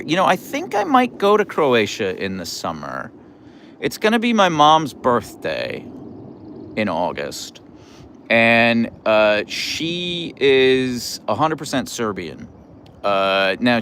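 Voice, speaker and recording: A middle-aged American man hosting a casual livestream, playful and wry, reading out comments and answering in halting starts. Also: medium pitch, sing-song, fairly bright tone, slight background noise. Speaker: livestream host.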